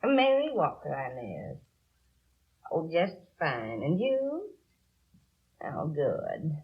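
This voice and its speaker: A woman's voice: low voice, wildly swooping pitch, drawn-out words, slightly rough, heavily acted. A woman playing a respectable, flustered store customer in a 1950s radio drama, speaking emphatically yet hesitantly. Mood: chatty. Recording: dull old radio recording.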